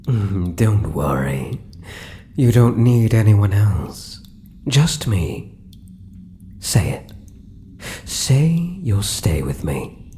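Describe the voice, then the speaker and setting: A man acting a possessive spider-man hybrid, British accent, unhurried, mellow, crooning, sinister, whispery ASMR roleplay, noisy background. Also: on the low side, sing-song, exaggerated voice. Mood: coaxing, possessive. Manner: crooning, soft menace, breaking into whispers. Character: possessive, sinister yet doting male spider-human hybrid monster. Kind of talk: ASMR roleplay, intimate monologue to listener.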